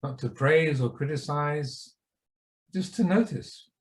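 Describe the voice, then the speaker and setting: Man slowly guiding a meditation. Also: a little high. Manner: unhurried, pausing between short phrases. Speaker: meditation teacher. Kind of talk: guided meditation.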